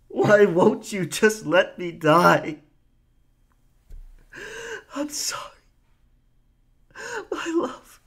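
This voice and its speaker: Slow, husky, vengeful captain. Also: male voice, high-pitched, heavily acted. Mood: anguished weeping. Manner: slow, theatrical, archaic seafaring speech. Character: vengeful, grief-stricken old pirate captain, widowed father. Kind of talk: dramatic pirate roleplay audio.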